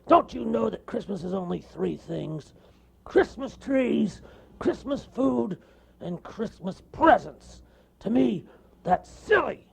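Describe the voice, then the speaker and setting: Man putting on a bear, male, grumpy, bossy, loud, sneering, high strained voice. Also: big pitch swings, slow, gravelly, slightly rough, exaggerated voice. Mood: angry boastful. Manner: shouting, sneering, angry.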